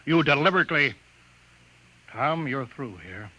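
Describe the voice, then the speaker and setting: A man's voice: on the high side, expressive, moderate pace, pushed and bright, highs cut off. A man playing an irascible, domineering bureau chief who blusters and barks orders in an old-time radio drama. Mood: angry, commanding. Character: irascible, domineering male bureau chief, hot-tempered bully.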